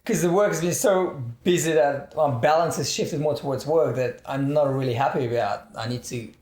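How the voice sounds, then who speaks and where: A man in his thirties chatting casually in a group, animated and acting out his own thoughts as he speaks. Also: fairly high pitch, moderate pace. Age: 30s. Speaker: actor guest. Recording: roomy echo.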